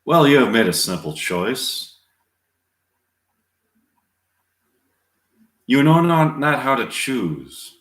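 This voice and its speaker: A man's voice: medium pitch, very animated, a bit bright. A man reading an impatient lovesick young girl, echoey room. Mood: pleading. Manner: halting, earnest verse reading. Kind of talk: amateur Shakespeare reading.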